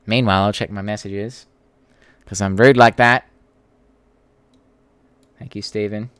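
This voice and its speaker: A man's voice: fairly low pitch, steady tone, measured pace, fairly bright tone, smooth, dry recording. A young Australian man hosting a casual live Q&A, chatting to viewers and reading their comments in a blunt, informal, sweary way. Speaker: YouTube creator hosting a live Q&A.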